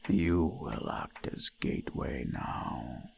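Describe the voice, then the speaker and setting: A man playing an ancient, possessive forest god in an intimate roleplay audio, speaking formally and ominously in a breathy, gravelly murmur that often drops to a whisper. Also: very deep voice, slow, a little mellow, a little hiss or hum, exaggerated voice. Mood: solemn, ominous.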